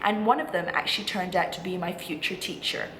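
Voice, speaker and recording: A woman's voice: lowish voice, a little fast, pushed and bright. A woman in her twenties giving chatty, informal advice in a reassuring, encouraging way, like a student vlogger talking to camera. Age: 20s. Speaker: vlogger, music college postgraduate singing student. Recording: echoey room with clear background noise.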